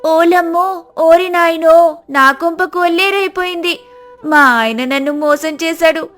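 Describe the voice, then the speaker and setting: Woman voicing foolish, high-pitched wailing wife, big melodrama, soft music. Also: level tone, moderate pace.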